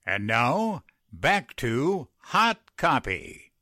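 A male announcer narrates an old-time radio drama in a theatrical way. His delivery is slow and highly varied in pitch, with a dry studio-like sound. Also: sing-song, sharp tone, no echo. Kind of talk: scripted 1940s radio narration.

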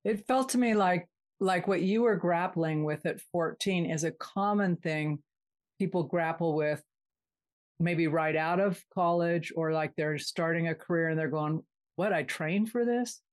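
A woman's voice: on the low side, a little slow. A woman interviewing in a casual, empathetic way, reflecting back what she hears. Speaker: interviewer, host.

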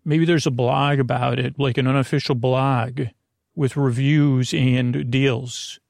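Creaky-voiced, lulling American man. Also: mid-range voice, steady tone, takes it slowly, darkish tone, no room sound, studio quality. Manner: hesitant, meandering, repeats himself; lulling, soothing. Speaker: podcast host. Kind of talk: rambling bedtime podcast monologue.

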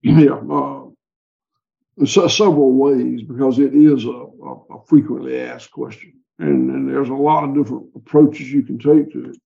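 A man's voice: medium pitch, fairly even intonation, moderate pace, gravelly, highs cut off. A man with a Southern US accent, a livestock farmer talking in an interview, rambling and folksy with frequent restarts. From American South. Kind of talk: conversational interview.

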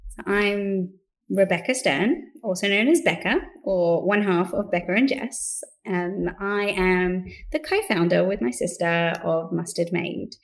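A woman's voice: on the low side, unhurried. A woman in her thirties from London with a mixed London and Australian accent, chatting as an interview guest with frequent fillers and restarts. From London, England. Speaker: podcast guest, business co-founder. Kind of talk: casual interview conversation.

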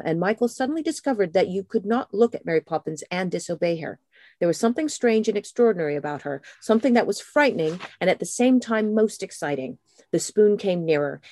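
An American woman with a fairly low voice who chats in a relaxed, animated way and slips into lively storytelling when she reads aloud. Her sound is close and dry, with little room in it. She talks like a host among friends. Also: dry recording. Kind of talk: casual chat and reading a book aloud.

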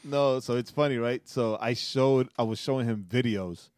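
A man's voice: mid-range voice, normal speed, darkish tone, dry recording, studio quality. A man in his forties co-hosting a podcast, telling stories animatedly, laughing often and checking in with "right?". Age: 40s. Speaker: podcast co-host. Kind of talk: casual chat.

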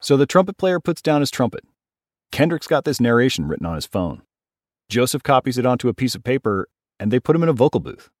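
A man hosting a podcast, narrating a scripted story in a measured, explanatory way. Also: medium pitch, big pitch swings, a little mellow, no room sound, clean studio sound. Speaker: podcast host.

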